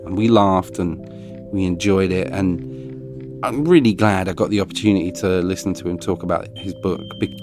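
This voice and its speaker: Rambling podcast host, papery voice. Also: male voice, low voice, a little mellow. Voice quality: crumpled, papery voice. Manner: rambly, self-deprecating, laughs at himself. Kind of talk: casual rambling outro.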